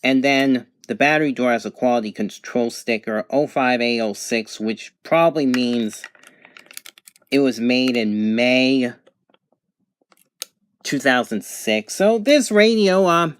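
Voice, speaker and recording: A man giving an unscripted product demonstration in a halting, matter-of-fact way. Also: medium pitch. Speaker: product reviewer.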